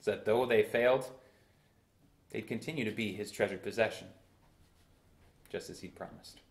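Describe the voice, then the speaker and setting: A young man in his twenties preaching a sermon from a church pulpit, with bursts of emphasis and slow, drawn-out phrases. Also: on the low side. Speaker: pastor. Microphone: far camera in a roomy church. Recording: echoey church room.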